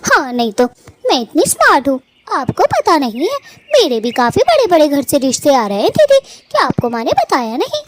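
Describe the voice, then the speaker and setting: A woman's voice: high voice, huge pitch swings, fairly quick. A woman voicing a playful, cheeky young female bird with a pert, teasing manner in a cartoon story. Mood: teasing, boastful. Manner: pert, teasing, mock-sulky. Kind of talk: animated cartoon story dialogue.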